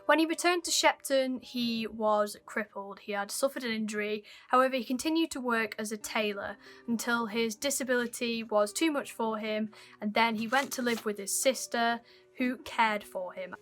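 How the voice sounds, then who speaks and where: A young woman hosting her channel, telling an old mystery case in a chatty way while her voice is croaky and fading. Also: a little high, normal speed, a bit bright, faint music behind. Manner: chatty, apologetic, dwells on odd details. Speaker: YouTube host. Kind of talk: casual mystery storytelling to camera.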